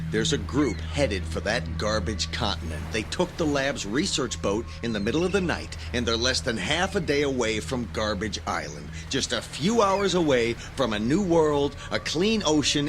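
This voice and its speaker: An idealistic young male grad student acted by a man, intense and preachy in the way of an audio drama crusader. His pitch sits in a middle range, and music plays softly underneath. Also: lightly acted. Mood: ominous, resolute. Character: earnest, idealistic young male graduate student activist, agitated.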